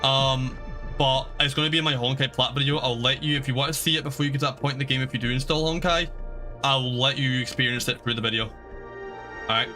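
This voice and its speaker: A man's voice: medium pitch, steady tone, quick pace, music in the background. A man giving casual live commentary as a game streamer.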